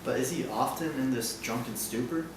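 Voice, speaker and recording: A man's voice: mid-range voice, moderate pace, close to an everyday voice. Guy playing earnest formal officer in a shakespeare play, echoey, far from mic, noisy. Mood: grave concern. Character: earnest, honorable officer and gentleman. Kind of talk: classical Shakespearean stage acting. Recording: distant, echoey, noisy stage recording.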